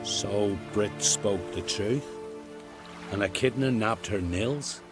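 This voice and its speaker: An actor playing a plain-spoken, grumbling island villager in a video game, with a rustic, blunt manner. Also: male voice, lowish voice, slow pace, music in the background. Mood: rueful. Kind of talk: video game cutscene acting.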